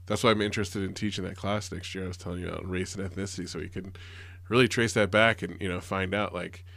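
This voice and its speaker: A man's voice: sits low, little pitch movement, fast talker, gravelly, fairly bright tone, no room sound, studio quality. Earnest man, rambling with fillers. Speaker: teacher. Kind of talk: casual conversation.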